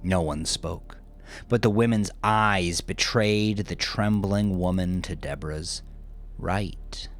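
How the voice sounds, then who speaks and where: A man narrating a dark audiobook story in a grave, suspenseful, deliberate manner. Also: low-pitched. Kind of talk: dramatic scripted audiobook narration. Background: music under the voice.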